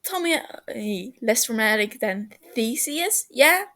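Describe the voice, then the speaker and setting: A woman voicing a brash, teasing young man in a story, loud, sarcastic and emphatic, with a defensive streak. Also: fairly high pitch, lively intonation, takes it slowly, full character voice.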